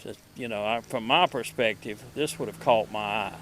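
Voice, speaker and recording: A middle-aged man hosting a casual vlog about vintage gadgets, speaking outdoors in a halting, folksy way into a close microphone. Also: on the low side, fairly bright tone, slight background noise. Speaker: video host showing vintage gadgets. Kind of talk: casual product showcase vlog. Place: outdoors at a table in a wooded yard.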